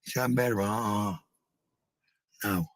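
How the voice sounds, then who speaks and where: A woman with a husky, raspy voice, ranting casually to her followers in a defensive, blunt, sweary manner. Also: very deep voice, normal speed, no room sound. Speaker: livestreamer.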